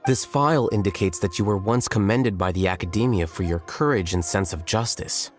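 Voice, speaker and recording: A man voicing a calm, analytical young scholar in a video game, speaking in a measured, matter-of-fact way. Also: on the low side, faint music behind, lightly acted. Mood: composed, matter-of-fact.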